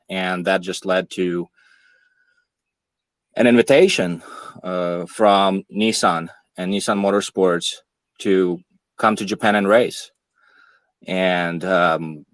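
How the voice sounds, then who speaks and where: A man in his thirties, born in Ukraine, telling his own story in a measured, halting way, with frequent fillers. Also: sits low, dry recording. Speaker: podcast speaker. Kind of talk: monologue, personal account.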